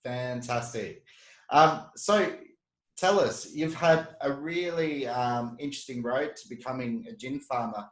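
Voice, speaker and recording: A man's voice: mid-range voice, measured pace. A man hosting a casual interview, introducing his guest and asking questions. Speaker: interview host. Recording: roomy, echoey sound.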